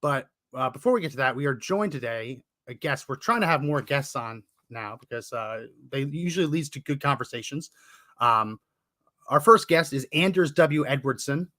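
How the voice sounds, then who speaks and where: Bright, upbeat male podcast host. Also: medium pitch, animated, dry recording. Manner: brisk and upbeat, announces topics. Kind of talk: casual podcast chat.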